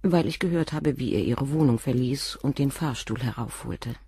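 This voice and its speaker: An actress playing a composed middle-aged doctor's wife and former nurse, speaking frankly and in a measured way in a radio drama. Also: female voice, extremely low pitch, dark tone, breathy edge, dry recording, studio quality, exaggerated voice. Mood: candid. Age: 40s. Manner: measured, frank, controlled confession. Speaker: witness questioned by the inspector.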